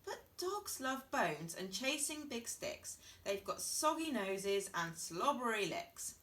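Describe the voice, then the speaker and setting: An anxious storybook mother hen, acted by a woman reader. The voice is high and swoops widely with fretful, dramatic exclamations, drawing out words in dismay. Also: very animated, slow, a bit bright, distant mic, exaggerated voice.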